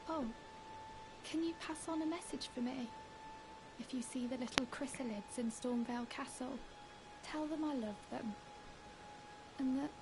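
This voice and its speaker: A timid sad game girl, young woman, really high voice, slow and wistful, hissy noise behind. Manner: halting, wistful, hushed delivery. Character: timid, mournful young woman, self-confessed craven, darkly whimsical. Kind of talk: video game character dialogue.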